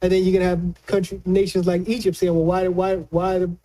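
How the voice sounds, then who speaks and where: High-pitched, mellow man explaining the news. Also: completely flat delivery. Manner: halting, repeats words while explaining, colloquial. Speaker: news analyst. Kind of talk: conversational political commentary.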